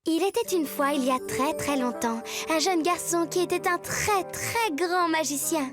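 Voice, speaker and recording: Cartoon schoolgirl, resourceful and expressive, very high pitch, clear music bed. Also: normal speed. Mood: sweetly storytelling. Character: lively, resourceful young schoolgirl, the hero's friend. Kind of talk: French cartoon dub acting.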